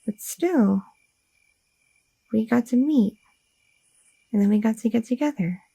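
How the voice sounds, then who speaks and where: A woman's voice: fairly high pitch, measured pace, gravelly, mellow, slightly rough, exaggerated voice, poor audio. A woman playing an anxious, devoted young goth girlfriend in an ASMR audio roleplay, hesitant and rambling, often repeating herself. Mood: curious, reassuring. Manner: hesitant, stammering, self-interrupting, repeating words.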